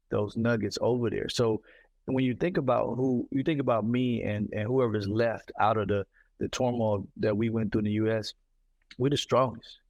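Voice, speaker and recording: Guy hosting a podcast, lowish voice, lively storytelling, dry close sound. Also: gravelly, no room sound, highs cut off. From Mississippi, United States. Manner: animated storyteller, repeats words, says "you know what I'm saying". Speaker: podcast host. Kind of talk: casual conversation.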